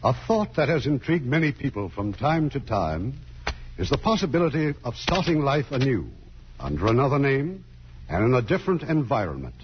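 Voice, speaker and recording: A man's voice: medium pitch, very animated, fairly quick, slight background noise. A man hosting an old radio theatre as producer, giving formal scripted narration. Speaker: producer and host. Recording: muffled old radio broadcast, faint music.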